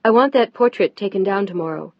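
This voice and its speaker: A woman voicing a fierce, upset wife, loud, sharp and near tears. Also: fairly high pitch, animated, brisk, dry recording, highs cut off. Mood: angry.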